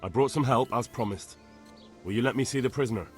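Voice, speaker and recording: An actor playing an earnest young man in a video game, calmly reassuring people as he brings help. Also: medium pitch, fast, faint music behind, natural voice. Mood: earnest, serious. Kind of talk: dramatic video game dialogue.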